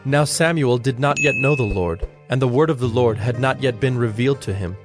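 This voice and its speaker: A male narrator reads a scripture story. His medium-pitched voice is measured and solemn. Faint music sits behind the narration.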